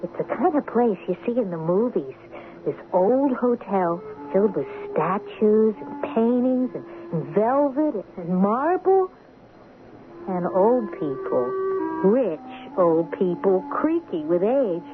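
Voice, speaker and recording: An actress playing a lively, questioning teenage high school girl in a radio drama, expressive and wry. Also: slow, music in the background. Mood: breezy narrating. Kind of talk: 1970s radio drama acting with first-person narration. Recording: muffled lo-fi radio recording with hiss.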